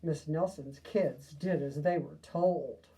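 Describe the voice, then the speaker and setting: Slow, gentle woman reading a storybook. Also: low-pitched, recorded from a distance, low-quality recording. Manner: slow, gentle, asides to young listeners. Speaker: storybook reader. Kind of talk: reading a picture book aloud to children.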